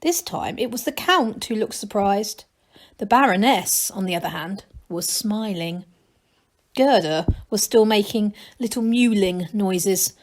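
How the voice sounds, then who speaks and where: A woman's voice: medium pitch, unhurried. A woman reading a children's story aloud as a teacher, narrating expressively.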